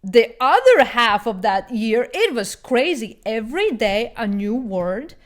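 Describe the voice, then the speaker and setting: A woman co-hosting a podcast in casual chat, speaking haltingly and searching for her words. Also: fairly high pitch, big pitch swings. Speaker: podcast co-host.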